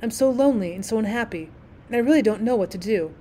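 A woman voicing a lonely old male ghost in a storybook, low and dreamy, speaking in a sad, wistful way. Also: warm tone, slight background noise, close to an everyday voice. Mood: weary, sad. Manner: slow, mournful, wistful delivery. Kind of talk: storybook character reading.

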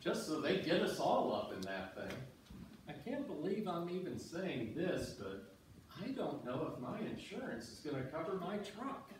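A man's voice: on the high side, very animated, normal speed. A man in amateur readers' theater playing a rueful stranded fisherman, drawling and emphatic. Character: rueful stranded fisherman who loves his truck. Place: church sanctuary stage, indoors. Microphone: distant audience camera. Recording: echoey church hall from far, faint noise.

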